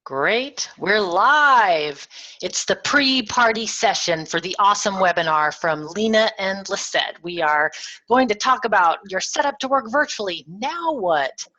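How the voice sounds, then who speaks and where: A woman's voice: medium pitch, animated, measured pace, bright, forceful tone. A woman from Germany hosting a webinar over a video call, upbeat and conversational. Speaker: webinar host. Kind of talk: webinar presenting. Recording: slightly muffled video call audio.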